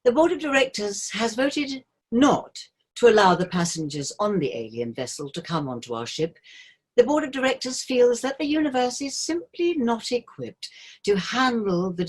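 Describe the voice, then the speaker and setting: A woman's voice: fairly low pitch, slightly rough. A woman playing a polished, officious cruise ship captain making formal announcements in a staged play reading. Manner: formal, measured announcement delivery.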